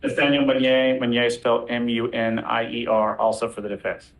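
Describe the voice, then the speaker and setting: A man's voice: mid-range voice, level tone, a little fast, poor audio. A man arguing as a defense lawyer before a judge, articulate and insistent while staying formally polite. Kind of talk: spoken legal argument to a judge.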